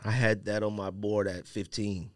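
A man's voice: lowish voice, little pitch movement, moderate pace, no room sound, clean studio sound. A man hosting a podcast, bantering casually in slang and teasing as he talks. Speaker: podcast host. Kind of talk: casual sports-draft banter.

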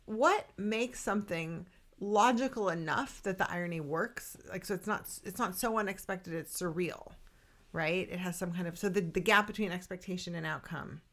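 Lowish-voiced woman cohost, rambly, laughs a lot, chatty. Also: normal speed, fairly bright tone. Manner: laughs easily, rambling, self-interrupting. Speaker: podcast co-host. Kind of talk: casual conversational chat.

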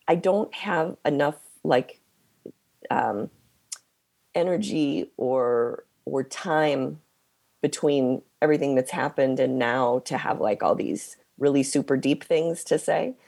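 A woman co-hosting a podcast, hoarse from losing her voice, talking in a halting, hesitant way with many pauses. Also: a bass voice, darkish tone, no echo. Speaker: podcast co-host. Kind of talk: casual conversation.

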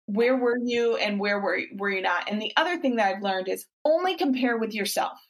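A woman's voice: mid-range voice, fairly quick. Encouraging woman podcast host. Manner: warm encouraging coaching talk, frequent restarts. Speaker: podcast host and fitness coach. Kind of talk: casual interview chat.

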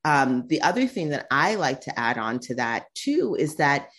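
A woman speaking as a guest in a conversational interview, often tagging her points with "right?" and "you know". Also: moderate pace. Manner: animated, pitch jumps when quoting others.

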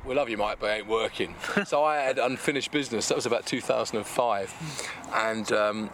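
Fast-talking joking baritone man. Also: fairly bright tone. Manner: joking, teasing, laughs often. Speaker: tribute band singer. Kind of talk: casual interview chat. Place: outdoors outside a pub. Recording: outdoor street noise with passing traffic.

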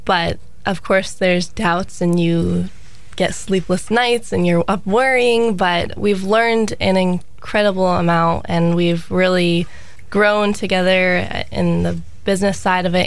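Woman in her twenties, gravelly voice, upbeat interview guest. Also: lowish voice, steady tone, a little slow, dry recording. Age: 20s. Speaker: pilot, flight center co-owner. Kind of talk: interview answers.

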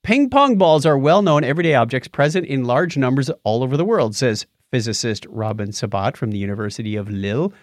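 A man hosts a casual science podcast from a dry, close-sounding studio. His pitch swings widely as he tells stories and summarizes news. He has a jokey, animated delivery. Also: a little fast, few pauses, dry recording. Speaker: co-host of a science and tech show. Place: home or podcast studio with acoustic foam.

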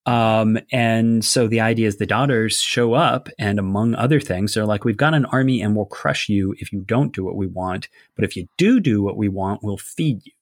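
A man's voice: lowish voice, moderate pace, hardly any pauses, no echo, studio quality. A man talking casually about a fantasy setting, explaining ideas at length with many fillers. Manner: rambling, filler-heavy, explanatory. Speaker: game designer discussing a setting. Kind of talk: casual discussion.

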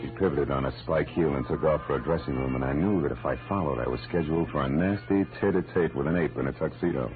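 A man's voice: rumbling low, quick pace, hardly any pauses. An American man playing a tough, wisecracking private detective in an old radio drama, clipped and sardonic. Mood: wry, tense narration. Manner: hard-boiled, clipped, sardonic. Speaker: lead actor and narrator. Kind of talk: 1940s-50s radio detective acting. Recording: muffled old radio recording with music.

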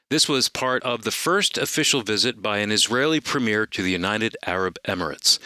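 A man co-hosting a radio program, reading scripted announcements and news in a polished, measured way. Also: medium pitch, pushed and bright, no room sound, studio quality.

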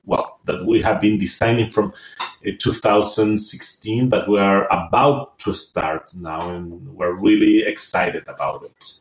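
Man from Rapa Nui, lowish voice, halting and thoughtful, roomy sound. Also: fairly even intonation. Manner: hesitant, thoughtful, many fillers and restarts. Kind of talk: conversational interview.

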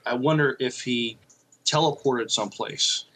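A man with a mid-range voice co-hosts an informal podcast. He works through his thoughts in a halting, reflective manner, as in a casual discussion. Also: mid-range voice, pushed and bright, husky, low-quality recording. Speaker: podcast co-host.

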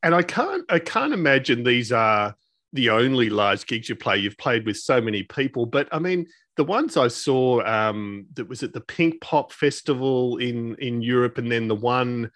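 A male podcast host talks in a chatty interview style, feeling his way through his phrasing. His voice sits at an average male pitch and is recorded dry, with very little room around it. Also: lively intonation, normal speed, a bit bright, no room sound. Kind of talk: conversational interview.

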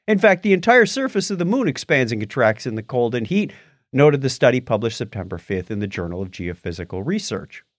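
A man's voice: mid-range voice, fairly quick, no echo, clean studio sound. A man co-hosting a podcast in a casual, jokey style, bantering and reading items aloud. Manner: jokey, animated. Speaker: podcast co-host.

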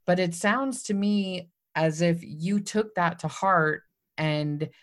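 A woman's voice: lowish voice, takes it slowly. A woman hosting a podcast interview, encouraging her guest and reflecting the guest's story back to her in a conversational way. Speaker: podcast host.

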